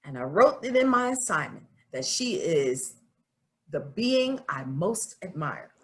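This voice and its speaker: A woman's voice: fairly low pitch, very animated, measured pace. A woman voicing a stubborn, earnest farm girl of about twelve who narrates a children's storybook in a plain, folksy, confiding way. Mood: admiring.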